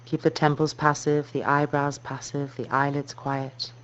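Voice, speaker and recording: A woman yoga teacher guiding a meditation in a slow, soft, calming and measured way. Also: low voice. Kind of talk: guided meditation instruction. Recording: dull muffled recording with noise and hum.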